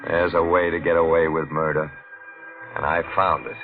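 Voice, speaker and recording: An actor playing a guilty police detective with a halting, haunted delivery, in the style of a 1940s radio thriller. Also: male voice, rumbling low, level tone. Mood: haunted, confessing. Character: guilty police detective, a wife-killer facing execution. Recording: old radio recording, dull, music behind.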